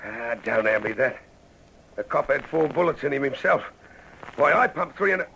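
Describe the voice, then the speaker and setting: An actor with an Australian accent playing a cornered, rueful small-time gunman who talks roughly in an old radio crime drama. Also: medium pitch, lively intonation, normal speed, pushed and bright, a little hiss or hum, heavily acted, rough recording. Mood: defensive, agitated.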